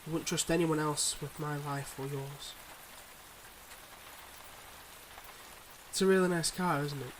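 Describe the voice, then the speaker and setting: A man playing a suave, secretive mob boss boyfriend in an intimate ASMR roleplay, talking smoothly and teasingly to the listener. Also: fairly high pitch, fairly even intonation, a little fast, a little hiss or hum, natural voice, poor audio. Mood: earnest, guarded.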